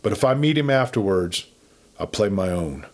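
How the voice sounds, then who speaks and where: A man's voice: on the low side, lively intonation, moderate pace. A man voicing a young, half-wild cowboy wanderer in a western story, brooding and terse. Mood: grim, resigned. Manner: terse, heavy.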